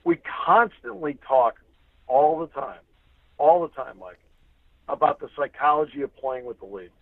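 A man's voice: mid-range voice, animated, moderate pace, no room sound. A man who works as a sports announcer, giving conversational analysis as a guest in an emphatic way, repeating phrases for emphasis. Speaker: radio play-by-play sports announcer, interview guest. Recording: tinny narrow phone-like line.

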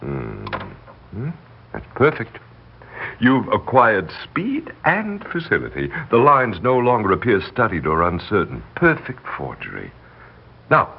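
A coldly scheming manservant in vintage radio drama style. His voice is very low and gravelly, coaxing and deliberate. It comes through a dull old broadcast recording. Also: huge pitch swings, exaggerated voice. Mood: coolly calculating. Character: scheming, persuasive male servant plotting murder for money. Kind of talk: 1960s radio drama acting.

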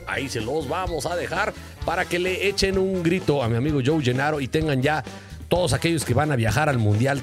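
A Mexican man hosting a casual panel show, joking around in brash slang with plenty of swearing. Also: fairly high pitch. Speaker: show host.

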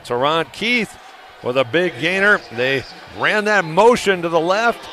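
A man giving live radio play-by-play of a football game, excitable and quick to raise his voice for big plays. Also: a little high. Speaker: play-by-play announcer. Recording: noisy live stadium broadcast ambience.

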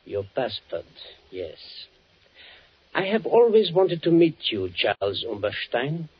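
An actor playing a coldly gloating Gestapo officer in a 1940s radio drama, slow and deliberate as he savours his words. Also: mid-range voice, big pitch swings, husky, exaggerated voice. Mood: gloating menace. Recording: muffled vintage 1940s radio broadcast.

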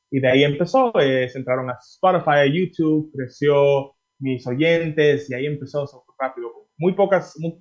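A Dominican-born singer being interviewed, answering in a chatty way with many fillers and restarts. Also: male voice, medium pitch, highs cut off. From Dominican Republic. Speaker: singer guest.

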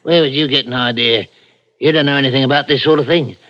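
A man acting a surly, defensive working-class husband in vintage radio suspense, gruff and rough in his speech. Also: mid-range voice, fairly even intonation, fast, lightly acted. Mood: irritable. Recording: dry, dull old radio broadcast.

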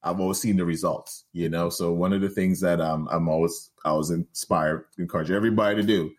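A man with a Trinidadian accent and a very low, deep voice talks casually as a guest on a call. He laughs easily and often circles back, restarting sentences while he gathers his thoughts. He sounds like a man in his forties. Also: fairly even intonation, a little fast. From Trinidad and Tobago. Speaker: guest, real estate investor. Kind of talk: casual interview talk. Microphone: on the line, remote call.

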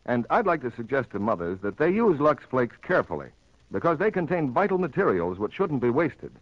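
A man's voice: medium pitch, very animated, a little fast, no room sound, dull audio. A man announcing a scripted commercial on a 1940s radio broadcast. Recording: old radio broadcast with music, audience.

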